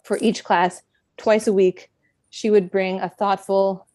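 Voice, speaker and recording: A woman in middle age, reading a prepared personal talk at a book event in a reflective, measured way with gentle self-deprecation. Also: medium pitch, little pitch movement, no room sound. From United States.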